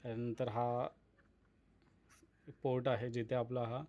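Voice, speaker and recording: A man's voice: mid-range voice, little pitch movement, slow pace, lo-fi sound. A man giving casual, explanatory unboxing commentary as a vlogger, addressing viewers as friends. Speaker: vlogger presenting a product unboxing.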